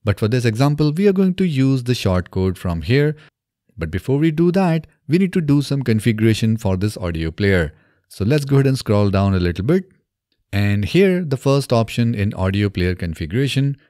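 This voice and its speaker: Man, tutorial presenter, mellow tone, methodical, dry close-mic studio sound. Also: medium pitch, sing-song, moderate pace, no room sound. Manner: methodical step-by-step explaining. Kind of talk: scripted software tutorial narration. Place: small indoor home studio. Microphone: close desk microphone on boom arm.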